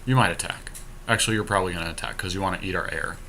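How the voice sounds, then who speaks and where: Bright-voiced man in casual game commentary. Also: low-pitched, very fast, slight background noise. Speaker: podcast co-host.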